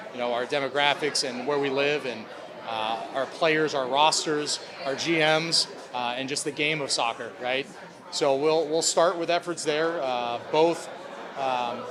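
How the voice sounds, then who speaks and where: A man speaking as a club president, answering reporters' questions in upbeat corporate language. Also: on the high side. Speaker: sports club president at a press conference.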